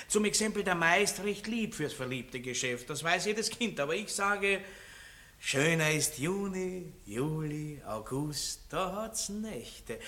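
Ein Schauspieler mit österreichischem Akzent spielt in einem Hörspiel einen großspurigen, lüsternen Landbaron mittleren Alters, der schwadroniert und prahlt. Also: male voice, on the high side, bright, forceful tone. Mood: bragging. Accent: Austrian. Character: boorish lecherous middle-aged country baron. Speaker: radio play actor. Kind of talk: 1960s radio drama acting.

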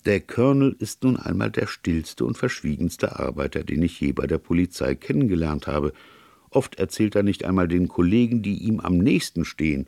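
A man's voice: deep voice, creaky, a little mellow, dry recording, studio quality, full character voice. Man voicing an emphatic, puzzled police inspector. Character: puzzled, blunt male police inspector, exasperated.

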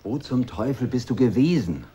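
An actor playing a distraught, exasperated man in a German radio drama, halting and repeating himself in agitation. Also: mid-range voice, expressive, dull audio, noisy background, close to an everyday voice. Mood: angry, upset.

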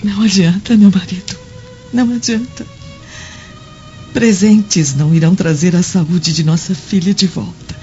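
A woman's voice: on the low side, steady tone, moderate pace, dark tone, close to an everyday voice. A woman playing a devout, worried Roman wife and mother in a radio drama, speaking in an earnest, imploring way. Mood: sorrowful. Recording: dull lo-fi audio over music.

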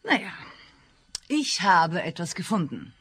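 Husky, deliberate female snoop. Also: on the low side, sing-song, fairly bright tone, no echo, heavily acted. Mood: triumphant. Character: snooping, triumphant woman helping a detective with his case. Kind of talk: radio play acting.

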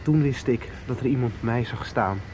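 A man's voice: medium pitch, moderate pace, darkish tone, slight background noise, close to an everyday voice. An actor playing an earnest, doubting young man in a radio soap, speaking in a hesitant, sentimental way. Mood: tender, hesitant.

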